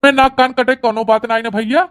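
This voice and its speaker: A woman's voice: on the high side, steady tone, quick pace, clean tone, close to an everyday voice. A woman voicing a bossy, stubborn village man in a comic family cartoon, blustering and argumentative, with an Awadhi accent. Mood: arguing. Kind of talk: rustic comedy cartoon dialogue.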